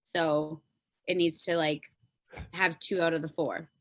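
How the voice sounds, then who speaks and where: A woman's voice: low-pitched, level tone, measured pace. A woman with a Canadian accent talking casually and informally as a guest on a podcast, explaining things as she goes. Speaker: podcast guest, pop singer-songwriter.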